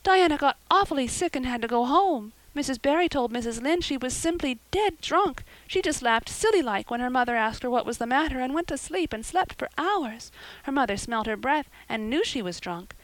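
A woman reading an audiobook, voicing a dramatic, tearful orphan girl who speaks in high-flown, breathless wails. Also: expressive, normal speed, no echo, rough recording. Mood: pleading, woeful. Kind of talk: audiobook character voice.

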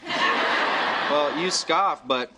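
A man's voice: fairly high pitch, drawn-out words. An actor in a sitcom playing a goofy man who makes puns. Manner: goofy, punning. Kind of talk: sitcom acting. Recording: noisy sitcom audio with laughter.

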